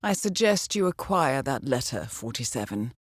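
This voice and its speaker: An actress playing a composed, formal and dryly witty intelligence handler who briefs an assassin in a video game. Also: female voice, extremely low pitch, very animated, normal speed, no echo, studio quality, heavily acted. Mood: dryly wry. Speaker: mission handler.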